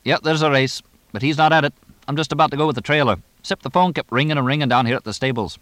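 A man voicing a fast-talking English horse trainer in an audiobook, drily blunt, chatty and deadpan in a clipped way. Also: fairly high pitch, no room sound, voice pushed up, natural voice. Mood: brisk, blunt. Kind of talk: audiobook character dialogue.

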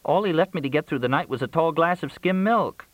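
A man's voice: fairly high pitch, animated, brisk, no room sound, dull audio, pitched above the performer's own voice, close to an everyday voice. A man voicing a plaintive, needy, hungry woman in audiobook dialogue.

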